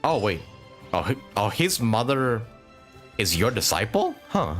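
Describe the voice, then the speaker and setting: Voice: medium pitch, big pitch swings, a little slow, soft background music. A game streamer giving casual, giggly live commentary while musing aloud.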